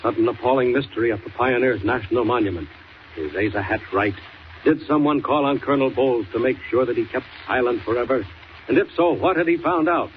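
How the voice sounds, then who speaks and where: A man's voice: mid-range voice, fairly quick, lightly acted. A man narrating a 1940s radio serial as a grand, theatrical announcer. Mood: ominous. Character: solemn, dramatic male radio serial announcer. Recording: hissy, dull old radio transcription.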